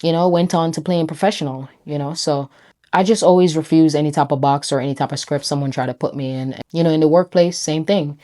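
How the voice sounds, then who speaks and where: A woman's voice: low-pitched, steady tone, fairly quick, warm tone. A young woman with a Jamaican accent, a brand founder chatting reflectively to camera, full of "you know" and "right?". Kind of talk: casual talk to camera.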